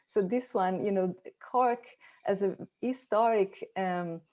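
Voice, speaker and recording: An Italian-accented woman speaks over a video call, her voice mellow and a little muffled by lo-fi audio. She talks casually, circling back with "um" and repeated words as she gathers her thoughts. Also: medium pitch, takes it slowly. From Italy. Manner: chatty, with many fillers and restarts. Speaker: conference respondent.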